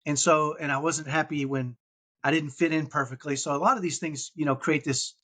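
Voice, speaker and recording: A man's voice: fairly high pitch, fairly even intonation, brisk, fairly bright tone. A man from Texas with a slight Texan accent, a podcast guest telling his story in casual chat with many restarts and fillers.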